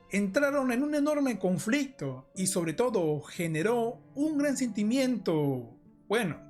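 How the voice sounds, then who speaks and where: Lively horror-video commentator with music behind. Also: mid-range voice.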